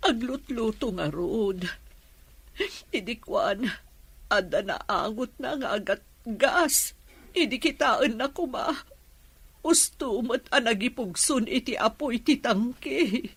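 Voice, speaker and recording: A woman's voice: on the high side, takes it slowly, husky, heavily acted. A Filipino-accented woman playing a worried, anguished mother in a radio drama, speaking in a plaintive, emotional way.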